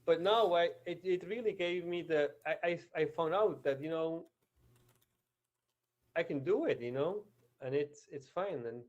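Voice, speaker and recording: Swiss German man, slightly high voice. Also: unhurried, a little mellow, dull audio. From Switzerland. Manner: hesitant, many restarts and fillers. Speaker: podcast co-host. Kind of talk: casual chat.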